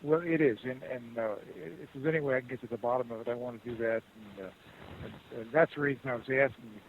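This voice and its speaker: A man working as a customer service agent on the phone, speaking in a halting, rambling, conciliatory way. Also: mid-range voice, fairly even intonation. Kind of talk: unscripted phone support conversation. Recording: muffled phone call with hiss.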